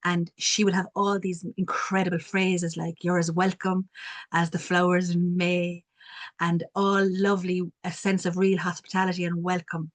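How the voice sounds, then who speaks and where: A woman with a strong Cork accent talks on a video call, her pitch low-set and held fairly flat. She tells her stories in a reflective way, pausing now and then. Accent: strong Cork Irish. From Cork, Ireland. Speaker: community development worker. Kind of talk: online panel talk.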